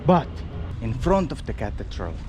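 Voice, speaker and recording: A man's voice: mid-range voice, sing-song, normal speed. A man from Finland vlogging while he walks city streets, chatting with passersby and greeting people again and again. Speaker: travel vlogger. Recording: noisy outdoor street recording. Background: loud traffic and street ambience.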